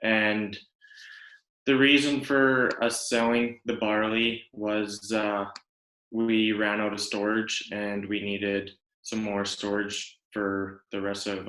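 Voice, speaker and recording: Young male student presenter, Western Canadian, slow and halting, flat intonation, roomy echo. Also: fairly low pitch. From Alberta, Canada. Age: young adult. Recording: echoey room sound.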